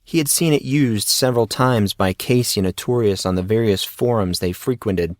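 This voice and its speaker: A man's voice: fairly low pitch, normal speed, no room sound, studio quality. A man reading scripted fiction as a narrator of an audio story.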